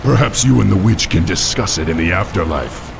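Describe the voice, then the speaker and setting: Very gravelly orc war chief. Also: male voice, sits low, lively intonation, a little fast, noisy background. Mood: defiant. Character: heroic orc war chief, resolute, commanding. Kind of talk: video game hero acting. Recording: rain and game ambience behind.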